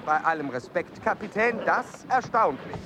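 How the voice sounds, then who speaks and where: An actor playing a strict, pedantic, driven explorer and scientist in a radio play, clipped and commanding. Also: male voice, high voice, animated, moderate pace, background noise. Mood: indignant. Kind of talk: German radio play acting.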